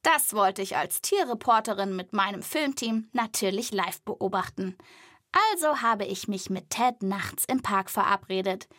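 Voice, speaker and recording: A woman presenting a children's podcast as an animal reporter, in lively scripted narration addressed to young listeners. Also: medium pitch, measured pace, no echo, clean studio sound.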